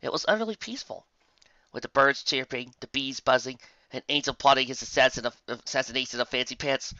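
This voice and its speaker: A man reading a story as a scripted narrator, with dramatic, expressive delivery on a muffled, dry home recording. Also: mid-range voice, fairly quick, dry recording. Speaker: fan fiction narrator.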